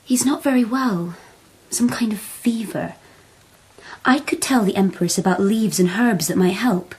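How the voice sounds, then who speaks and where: A young wild girl raised rough, for radio drama. Her voice is husky and mid-pitched, her answers blunt, guarded and plain. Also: measured pace, poor audio. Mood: guarded, wistful. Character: spirited feral young woman raised among wolves. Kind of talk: radio period drama acting.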